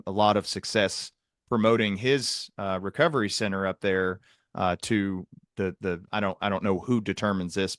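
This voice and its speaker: A man hosting a podcast, talking through clinical topics in a halting, thoughtful, conversational way with technical vocabulary. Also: low voice, a bit bright, no echo, clean studio sound. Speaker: podcast host, psychiatrist.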